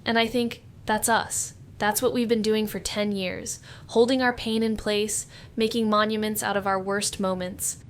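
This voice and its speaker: An actress playing a female amateur sleuth in a noir audio drama, introspective and steady, with deliberate narration and dry, understated dialogue. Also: medium pitch, natural voice. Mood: brooding, reflective.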